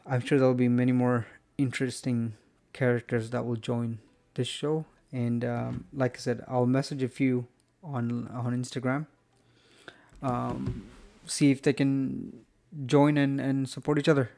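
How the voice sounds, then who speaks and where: A man's voice: medium pitch, level tone, measured pace, creaky, a little mellow. Rambling man hosting a live stream. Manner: rambling, many fillers and restarts. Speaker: host. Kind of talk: live stream sign-off chat.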